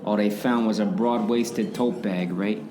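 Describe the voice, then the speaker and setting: A man's voice: lowish voice, steady tone, a little slow, a crowd in the background, lightly acted. A man playing a cagey, streetwise underground kingpin and bartender, evasive and wisecracking in a comic radio play. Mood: cagey, cool. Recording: roomy echo, faint background noise.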